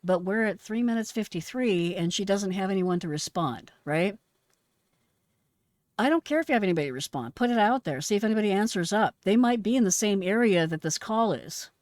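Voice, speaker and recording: A woman's voice: mid-range voice, lively intonation, a little fast, a little mellow, no echo, studio quality. A woman giving frank, instructive, emphatic commentary as a host and retired emergency dispatcher, analysing a call.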